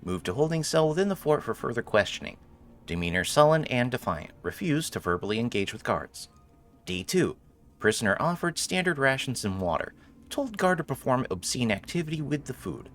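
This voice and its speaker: A man's voice: medium pitch, sing-song, brisk, a bit bright, voice pushed up. A man reading out a formal interrogation log in a clipped, officious manner. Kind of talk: dramatised document read-aloud.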